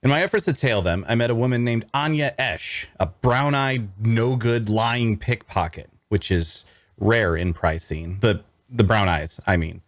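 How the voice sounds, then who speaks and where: A man's voice: on the low side, a little slow, no echo, lightly acted. An actor playing a sardonic necromancer antihero, narrating his own quest conversationally with dry humor and rhetorical asides. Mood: wry, conspiratorial. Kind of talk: first-person dramatic narration at a script table read.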